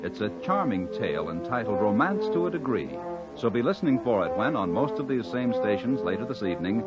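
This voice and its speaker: A man's voice: fairly low pitch, sing-song, a little fast. Male vintage radio announcer over music. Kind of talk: scripted 1950s network radio announcing. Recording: dull 1950s radio recording. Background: orchestral music.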